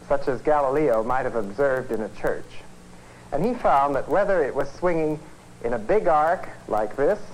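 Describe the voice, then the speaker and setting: An old educational film soundtrack with faint noise carries a man's mid-pitched voice. He lectures as a physics professor, explaining and demonstrating in a measured but conversational manner. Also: low-quality recording. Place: film studio.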